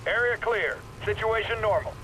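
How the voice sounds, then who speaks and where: An actor playing a gruff, cocky marine sergeant in a video game, barking military slang in a brash manner. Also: male voice, high-pitched, moderate pace, rough recording. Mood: cocky, commanding. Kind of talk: video game combat acting. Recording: loud game music and battle noise.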